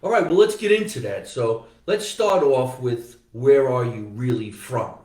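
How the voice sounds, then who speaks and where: A man's voice: mid-range voice, animated, measured pace, darkish tone, distant mic. Man hosting a comedy podcast, echoey room. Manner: rambling, joking asides. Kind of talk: casual comedic chat. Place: room in Florida.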